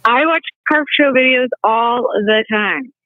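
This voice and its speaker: Upbeat giggly woman, high voice, sounds like a tinny phone call. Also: measured pace, no room sound. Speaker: guest model. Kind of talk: phone interview.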